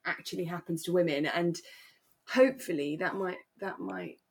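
A woman co-hosting a podcast, giving her opinions emphatically in relaxed, casual chat. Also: on the low side, moderate pace. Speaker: podcast co-host. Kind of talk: casual chat reviewing a radio drama.

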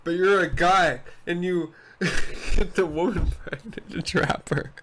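Teasing man tossing in quick jabs. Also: high-pitched, a bit bright, slight background noise. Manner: teasing, short interjections. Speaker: podcast co-host. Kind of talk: casual chat. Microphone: video call.

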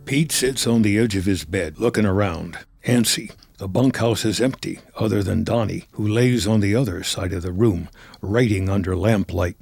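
A man narrating scripted drama action in measured, terse storytelling. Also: fairly low pitch, creaky, a little mellow.

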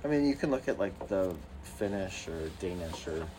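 A very low-voiced man co-hosting a casual podcast, blunt and wry as he talks through loose, filler-filled thoughts. Steady background noise is clearly audible behind him. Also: moderate pace. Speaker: podcast co-host. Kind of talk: casual chat.

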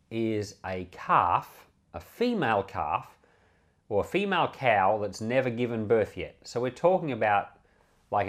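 Man with lively, expressive scripture-teaching delivery. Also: lowish voice. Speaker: pastor reading and explaining scripture. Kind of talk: Bible reading with devotional commentary.

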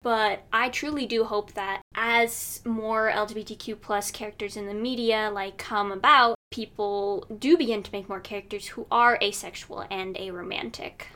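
A woman's voice: mid-range voice, steady tone, normal speed, hardly any pauses, bright, forceful tone. A young woman with a childlike voice, chatting as an interview guest and rambling with lots of "like". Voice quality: youthful, childlike voice. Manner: rambling, heavy use of "like". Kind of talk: casual conversation.

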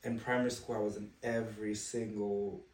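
A man's voice: fairly low pitch, flat delivery, a little fast, background noise. A young man from Zimbabwe, a podcast guest telling stories in a chatty way with frequent laughs. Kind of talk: casual chat. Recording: roomy room, distant mic.

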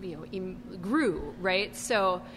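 A woman's voice: lowish voice, expressive. Female host, bubbly language nerd, reverberant room with faint hum. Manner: enthusiastic, quick to affirm, nerdy about languages. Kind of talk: casual chat.

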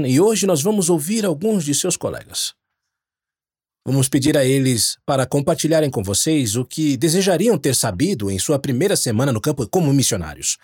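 Lively husky male podcast host. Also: medium pitch, no room sound, clean studio sound. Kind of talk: scripted podcast introduction.

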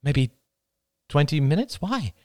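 A man's voice: a little high, very animated, a little slow, a little mellow, voice pushed up. A man voicing a frightened, flippant young woman in an audiobook, breathless, shaky and blurting out words. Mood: panicky, incredulous. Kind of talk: audiobook character dialogue.

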